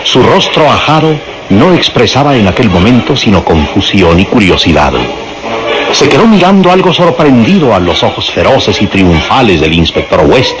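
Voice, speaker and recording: Male radio drama narrator, dramatic scripted read, swoopy intonation, loud music behind him. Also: on the low side, very animated, moderate pace, rough recording.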